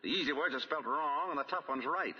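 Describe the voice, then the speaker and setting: An actor playing a shrewd, composed amateur detective in 1940s radio drama style, with probing, deliberate questioning. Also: male voice, fairly high pitch, breathy edge, in a large hall. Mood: pointed, reasoning. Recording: dull, lo-fi 1940 radio broadcast.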